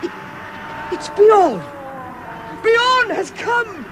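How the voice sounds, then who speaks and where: Nervy small hobbit for a radio play, really high and animated, stammery, noisy behind him. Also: male voice, extremely slow, full character voice. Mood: alarmed, excited. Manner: fretful, stammering, exclaiming. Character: small, anxious, excitable male hobbit. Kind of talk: radio drama acting. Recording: heavy background noise of battle. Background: loud noise.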